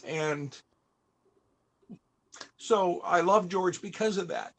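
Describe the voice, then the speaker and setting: A man hosting a panel, telling a personal story in a halting, reflective and emotional way. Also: high-pitched. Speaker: panel host and entertainer. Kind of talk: storytelling at a live interview panel.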